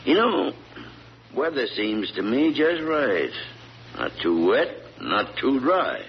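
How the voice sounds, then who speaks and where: A man's voice: medium pitch, very animated, slow pace. An actor playing an older, stoic, folksy country father with a rural American accent, in an old-time radio drama. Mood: brooding, resolute. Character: weathered stoic rural hound-loving father, convicted of killing. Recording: dull, noisy old radio recording.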